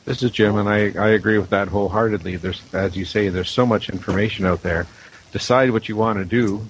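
A man's voice: fairly low pitch, steady tone, brisk. A middle-aged man chatting casually as a roundtable guest, on dull lo-fi audio with some noise. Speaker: audio producer, guest panelist.

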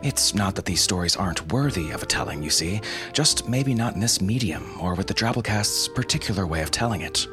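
Male podcast host, very low register, wry scripted narration over a clear music bed. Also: animated, a little fast. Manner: wry, tongue-in-cheek.

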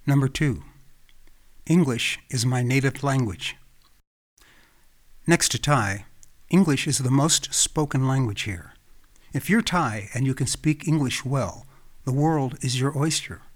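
An American man from Los Angeles, hosting his own podcast with calm, reflective scripted narration. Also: medium pitch, unhurried, long pauses. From Los Angeles, California. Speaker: podcast host.

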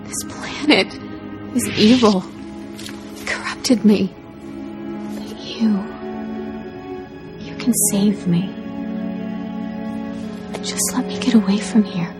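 Actress as a slow, pleading young dark Jedi woman. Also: mid-range voice, music in the background, lightly acted. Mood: desperate pleading. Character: pleading young female dark-side Jedi, bitter and desperate. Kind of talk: video game voice acting.

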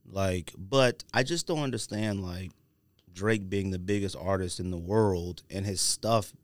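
A man's voice: low-pitched, measured pace, dry recording, clean studio sound. A man hosting a casual podcast, talking in an informal, opinionated way with plenty of slang. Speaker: sneaker podcast host. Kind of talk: casual banter and opinionated sneaker commentary. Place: podcast studio.

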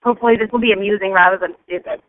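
A woman's voice: medium pitch, fairly even intonation, rapid-fire. A woman leaving casual, rambling listener feedback as a voicemail over the phone. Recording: tinny, band-limited phone voicemail.

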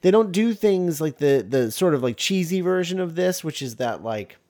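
A man hosting a podcast, talking casually about film in a halting, hesitant way. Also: fairly high pitch, animated, a little mellow. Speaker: podcast host.

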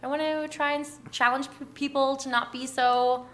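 A woman's voice: high voice, steady tone, moderate pace, fairly bright tone. A woman speaking as a podcast guest, earnest and informal, in casual conversational interview style.

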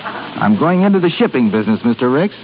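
An old, narrow-sounding radio recording with a long reverb holds a male actor playing a cocky male upstart in business. He is brash and defiant, and his pitch swings widely in the style of 1930s radio acting. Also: very animated, fairly quick, a little hiss or hum, natural voice.

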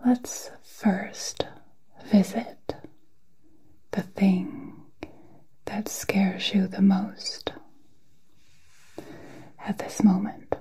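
A woman whispering a guided meditation in a soft, breathy, soothing way, with long pauses, as an ASMR host. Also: mid-range voice, level tone. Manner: slow, soothing, halting delivery with long pauses.

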